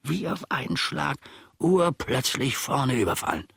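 Gravelly, slow-talking old manservant. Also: male voice, medium pitch, lively intonation, a bit bright, no echo, clean studio sound, exaggerated voice. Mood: wry, self-pitying. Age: older. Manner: theatrical, brooding, old-fashioned diction. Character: aggrieved, rambling old manservant, wry and self-pitying. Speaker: actor in a radio play. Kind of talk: German radio play monologue.

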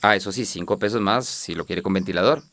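An actor playing an obliging, folksy innkeeper in a radio drama, chatty and persuasive. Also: male voice, low voice, fast talker, a bit bright. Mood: chatty, salesy. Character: folksy, obliging male innkeeper in a border town.

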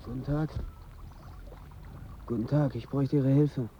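An actor in a German radio drama playing a pleading drug addict in withdrawal, begging pushily but politely. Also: male voice, medium pitch, steady tone, a little slow, lo-fi sound, slight background noise, lightly acted.

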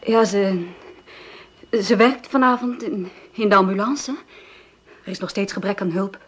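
A jittery young mother in a radio play, stumbling over her words and rushing past awkward questions. Her voice is breathy and slightly husky, at an ordinary middle pitch. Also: fairly bright tone. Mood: nervous. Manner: stammering, hurried, evasive. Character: nervous young mother Sylvie, evasive and jumpy.

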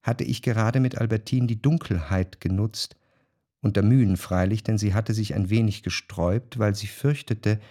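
A man narrating a literary radio drama as a reflective gentleman recalling his past, in a measured, articulate way. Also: fairly low pitch, no echo, studio quality, close to an everyday voice. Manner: measured, articulate reading of long sentences.

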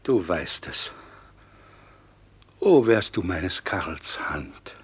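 A man's voice: low-pitched, animated, very slow, breathy edge, heavily acted. An actor playing a frail, grieving, tender old father of noble birth in a classical radio play. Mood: tender sorrow. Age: older.

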